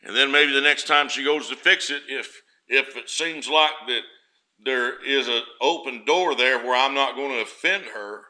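A male preacher speaks in a plain, homespun way and leans on everyday examples. His voice is naturally loud and very bright, almost cutting, and it climbs in pitch when he drives a point home. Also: normal speed, rough recording. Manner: homespun, storytelling, rises loud for emphasis. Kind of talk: folksy sermon.

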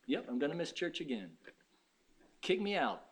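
A man in his fifties preaching a sermon, emphatic, swinging from loud urging to hushed asides and acting out his listeners' objections. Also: on the high side, very animated, normal speed. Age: 50s. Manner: acts out the doubting listener's objections. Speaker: pastor.

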